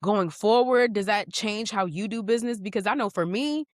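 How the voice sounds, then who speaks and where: A woman in a casual podcast chat, talking in an animated, sassy way with emphatic rhetorical asides. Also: medium pitch, moderate pace, no echo, clean studio sound. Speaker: podcast guest, project manager.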